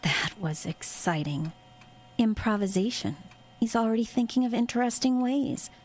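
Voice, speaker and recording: An actress playing a cold, calculating superior in a covert military unit, clinical and authoritative, in an audio drama. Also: female voice, a little high, expressive, moderate pace, darkish tone, natural voice. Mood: coolly calculating. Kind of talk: audio horror drama acting.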